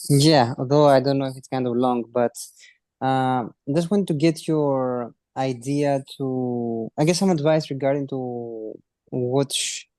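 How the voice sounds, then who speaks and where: Greek accent guy, halting, keeps restarting, mellow dry voice. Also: medium pitch, fairly even intonation, dry recording. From Greece. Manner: hesitant, halting, many restarts. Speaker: caller on a support call. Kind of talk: casual question on a group call.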